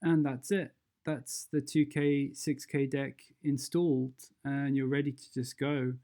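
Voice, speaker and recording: A presenter narrating a casual step-by-step software tutorial, informal and instructional, thinking aloud along the way. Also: mid-range voice, flat delivery, unhurried.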